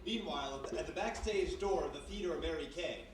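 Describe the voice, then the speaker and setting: A man acting as the measured, dramatic narrator of a live old-time radio mystery. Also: a little high, close to an everyday voice. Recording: very echoey live hall, distant mic, faint noise.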